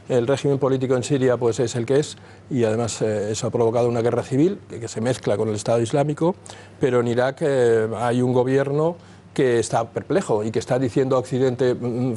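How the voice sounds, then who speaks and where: Brief: man with a mellow, mid-pitched voice, calm panel guest, faint hiss. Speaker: guest spokesman. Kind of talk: studio panel discussion.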